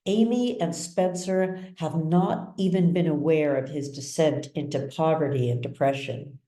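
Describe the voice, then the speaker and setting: A woman author reading her novel as dramatic literary narration, with measured, deliberate phrasing. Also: low-pitched, mellow. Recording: roomy echo, distant mic.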